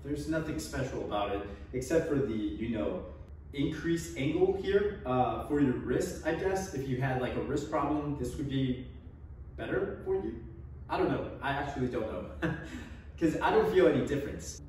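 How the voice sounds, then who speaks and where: A man's voice: medium pitch, animated, normal speed, a little mellow, distant mic, slight background noise. A young man in his twenties giving a casual, joking review of gym gear in his home garage gym, filmed by a camera across the room. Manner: joking, offhand, trails off with small laughs. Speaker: fitness vlogger. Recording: echoey garage, voice off-mic.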